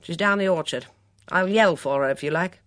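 A woman's voice: lowish voice, normal speed, a bit bright, no echo, studio quality, natural voice. A woman voicing a brusque, no-nonsense countrywoman in an audiobook, blunt and commanding, with clipped slang. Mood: brusque, matter-of-fact. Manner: blunt, bellowing, clipped upper-class slang.

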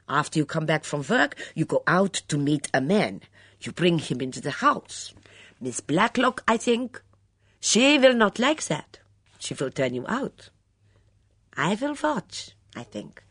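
A fiery, indignant foreign cook, put on by a woman reader who talks in halting broken English and hammers her words by repeating them. She is pitched high and loud, with a husky grain and a dry, close sound. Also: no room sound, full character voice.

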